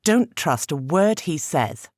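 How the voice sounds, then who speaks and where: A man's voice: fairly high pitch, very animated, slow, dry recording, studio quality, pitched above the performer's own voice, full character voice. A man doing a fierce warrior mother. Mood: wary. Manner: firm, warning. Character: fierce female warrior spirit, mother, wary.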